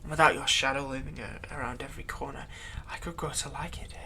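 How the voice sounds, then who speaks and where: Man acting a hushed, vengeful newborn vampire. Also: medium pitch, steady tone, quick pace, noisy background, natural voice. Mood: menacing, smug. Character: vengeful male former thrall, newly turned vampire, bitter and taunting. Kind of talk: intimate roleplay audio drama, ASMR.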